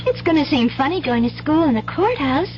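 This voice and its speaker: A woman's voice: sits high, animated, brisk, over loud music. An actress playing an earnest, trusting country schoolgirl with folksy, wide-eyed speech in a radio drama. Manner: folksy rural grammar, eager and wide-eyed. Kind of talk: 1970s radio drama acting. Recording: dull, hissy old radio broadcast.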